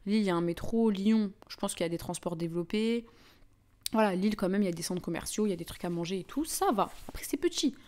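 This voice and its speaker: A woman's voice: medium pitch, lively intonation, fast talker, dark tone. Chatty young Parisian woman, casual livestream. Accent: Parisian French. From France (Paris). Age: young adult. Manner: informal, slangy, chatty. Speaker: livestream host. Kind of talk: casual live chat with viewers.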